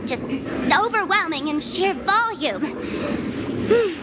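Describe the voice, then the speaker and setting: A woman playing a playful, cheeky young heroine in a video game, teasing and expressive. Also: very high voice, heavy background noise, exaggerated voice. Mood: wry, weary. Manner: teasing, exclamatory, with sighs and drawn-out words. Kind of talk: animated video game voice acting. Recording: loud music behind the voice.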